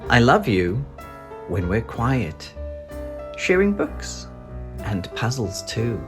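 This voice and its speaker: A narrator reading a picture book aloud to young children in a gentle, affectionate way with drawn-out phrasing. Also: medium pitch, sing-song. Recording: music behind the voice. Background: music.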